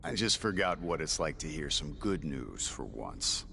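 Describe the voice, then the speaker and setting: Very deep, gruff game warrior. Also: male voice, sing-song, a little slow, pushed and bright, slight background noise, exaggerated voice. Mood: dry, curt. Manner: curt, blunt. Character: gruff, impatient, blunt male warrior. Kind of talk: scripted video game cutscene acting.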